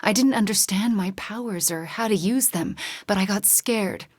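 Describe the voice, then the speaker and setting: A woman voicing an earnest, haunted young sorceress hero in a video game, measured in delivery with a dry, sardonic edge. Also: mid-range voice, dry recording, close to an everyday voice. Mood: somber, confiding. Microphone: close, dry studio recording.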